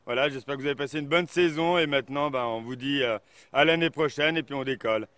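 A man narrating his own paragliding flight for a casual vlog, cheerful and relaxed, filmed outdoors on snowy slopes with an action camera on a pole. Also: medium pitch, fast talker. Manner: cheerful, relaxed, enthusiastic outbursts. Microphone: action camera on a selfie pole. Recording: faint wind noise on outdoor camera mic.

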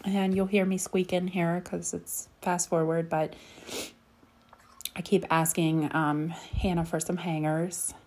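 A woman's voice: lowish voice, little pitch movement, slow, darkish tone. A woman in her thirties chatting casually over her home cleaning video, rambling with lots of ums. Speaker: cleaning vlogger. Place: home bedroom.

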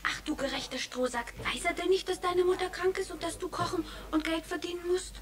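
A woman playing a pert, outspoken and lively little girl in a 1960s German children's radio play. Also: extremely high pitch, fairly quick. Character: cheeky, lively little girl from a well-off family. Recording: noisy old vinyl, somewhat distant.

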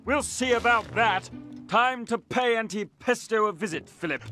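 An actor playing a pompous, scheming aristocratic hunter with an upper-class English accent in an animated game. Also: male voice, high voice. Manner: pompous, sneering, theatrical. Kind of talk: animated game cutscene acting.

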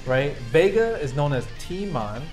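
Animated young man with slangy talk. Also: on the high side, measured pace, faint music behind. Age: young adult. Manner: slangy, checks in with "right?". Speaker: panelist in a trailer reaction show. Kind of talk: casual chat, explaining. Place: small living room on a couch.

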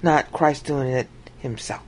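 A woman giving an informal Bible teaching monologue over a screen recording, acting out quoted lines with big jumps in pitch. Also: a little slow, darkish tone, slight background noise.

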